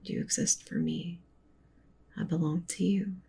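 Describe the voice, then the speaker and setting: A woman playing a doting, possessive yandere wolf witch girlfriend in an intimate, breathy whispered ASMR roleplay. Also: sing-song, measured pace, warm tone, heavily acted. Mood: adoring, intense. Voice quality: breathy whisper with low creaky murmur. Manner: intimate, tender, clingy, coaxing.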